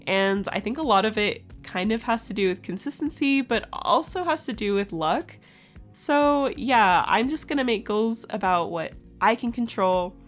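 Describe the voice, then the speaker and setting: A woman in her twenties with a raspy, scratchy just-woke-up voice, chatting casually in a voice-over for her art channel and laughing often. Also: medium pitch, animated, moderate pace, soft background music.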